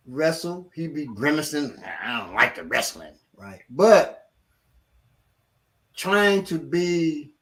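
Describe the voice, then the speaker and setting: Middle-aged male instructor, slightly high voice, lively casual storyteller who mimics others. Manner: animated, acts out quotes of others. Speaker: martial arts instructor.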